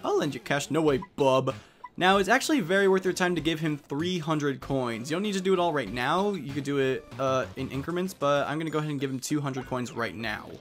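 A man's voice: fairly high pitch, expressive, fairly quick, a bit bright, faint music behind. A man giving casual, jokey, rambling commentary as host of a game playthrough. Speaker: let's play host.

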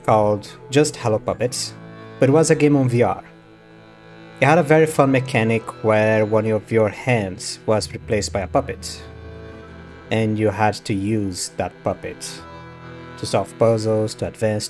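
A man gives casual gameplay commentary as a streamer. His voice sits a bit low, with clearly audible game music behind it. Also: a little mellow.

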